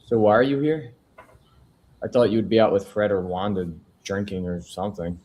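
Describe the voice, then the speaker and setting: A man reads a lonely, worn-down proofreader in a film drama table read. His voice is deep, and he keeps the delivery low-key and conversational, with a wry, questioning edge. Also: steady tone, moderate pace, darkish tone, close to an everyday voice. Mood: curious, wry. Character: Cassidy, weary, lonely male newspaper proofreader, wryly curious.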